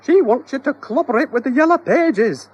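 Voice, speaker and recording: A screeching panicky wife. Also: male voice, animated, moderate pace, slightly rough, lo-fi sound, exaggerated voice. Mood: exasperated. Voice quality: screeching falsetto. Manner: bossy, exasperated. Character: shrill panicky bossy wife.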